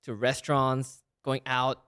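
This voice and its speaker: A man's voice: medium pitch, monotone, fairly bright tone, clear, resonant tone. A man in his twenties from Malaysia, a guest in a casual interview, talking eagerly and often checking in with "right?". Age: 20s. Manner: fast, eager talk with frequent "like" and "right?".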